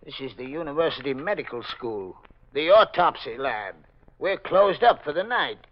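High-pitched, folksy American night watchman. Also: expressive, normal speed. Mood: grumbling, irritated. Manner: folksy, grumbling, uneducated speech. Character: gruff, talkative male night watchman at a morgue-like lab. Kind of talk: 1940s radio mystery acting. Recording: muffled old radio recording.

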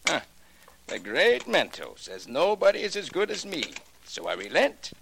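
An old, wounded showman turned shopkeeper in a 1940s radio play. His voice sits a little high and rises and falls sharply with indignation. The phrasing is stilted and grandly theatrical. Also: wildly swooping pitch, moderate pace, gravelly, noisy background, full character voice. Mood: bitter refusal. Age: older. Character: embittered old magic-shop craftsman, former stage magician. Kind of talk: 1940s radio drama acting.

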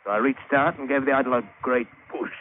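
A man's voice: medium pitch, fast, narrow, tinny audio, close to an everyday voice. A man acting a plain-spoken British sailor who spins a wry adventure yarn in colloquial, dramatic 1950s radio style. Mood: amazed, wry. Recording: thin, hissy old radio broadcast.

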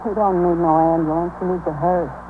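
A woman's voice: lowish voice, fairly even intonation, a little fast, natural voice. A woman playing an impatient, indignant young working woman in a 1950s radio drama, blunt and plain-spoken. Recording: old 1950s radio broadcast, lo-fi, hissy.

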